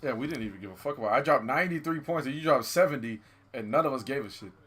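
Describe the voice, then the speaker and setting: A young man in casual gaming chat, slangy and excitable, swearing freely. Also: mid-range voice, rapid-fire. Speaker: gamer reviewing a film with a friend. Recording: noisy, clicks and game sounds. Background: basketball game sounds, clicks.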